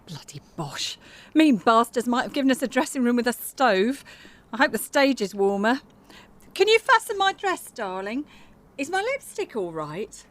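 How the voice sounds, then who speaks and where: An actress in an audio drama playing an imperious, bawdy wartime singer who swears, orders people about and gushes endearments. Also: female voice, a little high, big pitch swings, normal speed, a bit bright. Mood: grumbling, playful. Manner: bossy, sweary, gushing endearments. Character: Edith, imperious, bawdy, theatrical female singer in wartime France.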